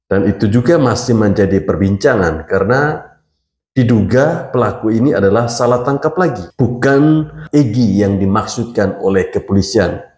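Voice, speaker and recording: A man hosting his online channel, explaining a case in a measured way. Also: lowish voice, animated, darkish tone, echoey room. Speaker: channel host. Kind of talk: online commentary monologue.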